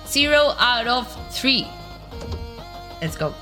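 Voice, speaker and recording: A woman's voice: on the high side, big pitch swings, takes it slowly, sharp tone. A woman with a cold hosting a let's-play, chatting casually and thinking aloud as she plays. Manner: chatty, thinks aloud while playing, hums along. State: has a cold. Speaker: gaming video host. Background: game music.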